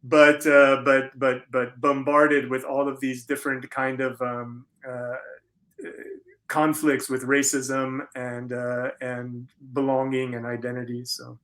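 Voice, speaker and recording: Halting, thoughtful American man. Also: mid-range voice, level tone, a bit bright. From United States, Florida. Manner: halting, thoughtful, repeats and rephrases. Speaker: interview guest, conceptual artist. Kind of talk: reflective conversational interview.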